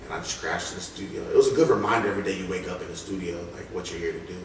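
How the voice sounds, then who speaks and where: A man's voice: low voice, rapid-fire. A young man from Columbus, Ohio, telling stories in a casual, slangy, reflective way as a music artist being interviewed. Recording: echoey room, distant mic, noisy background.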